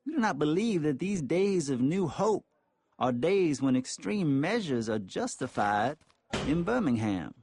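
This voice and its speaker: A man reading a formal written statement aloud in measured, deliberate phrases. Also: on the high side, big pitch swings, a little mellow, slightly rough. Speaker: reader.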